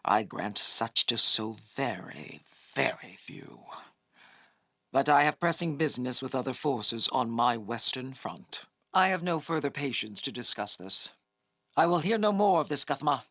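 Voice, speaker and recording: A woman playing a proud, menacing half-dragon army commander in a fantasy video game, imperious and scornful, with a husky rasp. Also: extremely low pitch, animated, measured pace, fairly bright tone, no echo, heavily acted. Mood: cold fury.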